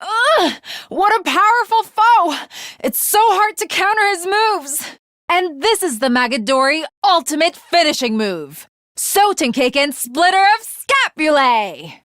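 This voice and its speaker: An earnest teenage girl warrior for an anime video game. Her voice is high and swings widely, with slow, deliberate delivery. She speaks with polite, archaic formality and theatrical flourish. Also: big pitch swings, fairly bright tone, no echo, studio quality, exaggerated voice. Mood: fierce, battling. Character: earnest, lovestruck, naive teenage girl swordswoman.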